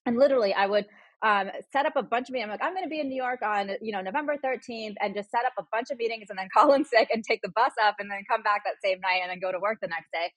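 A woman in her forties, a journalist, chatting quickly as a podcast guest, full of "like" and "you know". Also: mid-range voice, a bit bright, no room sound, rough recording. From United States. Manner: quick, chatty storytelling with frequent "like". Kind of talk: casual interview conversation.

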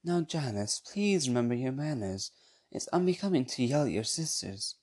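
A woman's voice: a bass voice, big pitch swings, normal speed, darkish tone, husky, full character voice. A woman voicing a prim eighty-five-year-old sister who scolds her siblings about manners. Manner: primly scolding, proper.

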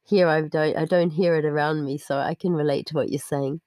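A woman from New Zealand with a Kiwi accent, hosting an interview and encouraging her guest with quick affirmations. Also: deep voice, steady tone, dark tone, slight background noise. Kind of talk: casual interview chat.

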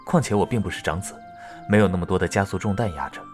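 A man playing a gentle, caring young village teacher of ancient China in a period romance audio drama, tender, patient and unhurried. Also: low-pitched, faint music behind, lightly acted. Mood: calm.

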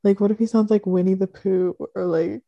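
A young woman in laid-back casual chat, reacting slowly and giggling often. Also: medium pitch, steady tone, gravelly, no room sound, dull audio.

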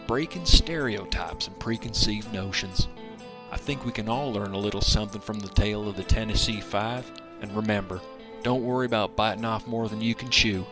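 A man with a low voice gives dry, mock-solemn storybook narration. Plucked guitar plays clearly underneath him.

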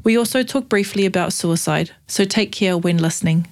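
A woman's voice: on the low side, normal speed. A woman with a New Zealand accent, speaking as a podcast host in an interview show. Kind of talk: conversational interview with scripted introduction.

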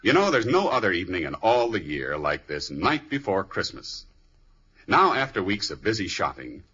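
A man's voice: lowish voice, a bit bright, husky, poor audio. A man delivering a polished, folksy sponsor message in 1950s radio announcer style. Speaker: commercial announcer.

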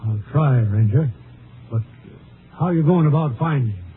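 A man's voice: medium pitch, lively intonation, moderate pace, lightly acted. Guy doing a ranch owner, country talk, kinda wary, mid voice, old radio play with faint noise and phone-like sound. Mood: plain, slightly wary. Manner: folksy, drawling grammar, hesitant recall. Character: plainspoken, slightly wary male Texas rancher. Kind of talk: 1950s radio drama acting. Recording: noisy old radio recording, narrow band.

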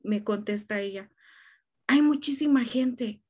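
A woman's voice: fairly high pitch, unhurried, a little mellow. A woman in a casual conversation, speaking emphatically and restarting her phrases often.

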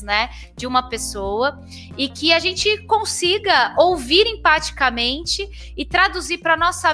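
Female interview host, Brazilian accent, slightly high bright voice, effusive and informal, music behind. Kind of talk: casual friendly interview chat.

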